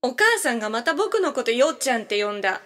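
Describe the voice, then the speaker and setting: A woman voicing a whiny, excitable ten-year-old boy who pleads childishly in a family audio drama. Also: a little high, quick pace, long reverb tail. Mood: pleading.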